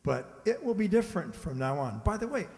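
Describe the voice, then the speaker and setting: A man acting in an amateur radio drama as a henpecked husband, chummy and confiding, quietly smug about his secret scheme. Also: sits high, big pitch swings, brisk. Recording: echoey hall, faint background noise.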